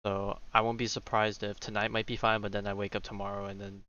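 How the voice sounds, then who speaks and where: A man's voice: lowish voice, flat delivery, fast talker, sharp tone, dull audio. A man doing casual livestream gaming commentary, thinking aloud in a hesitant, rambling way. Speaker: game streamer. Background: game music.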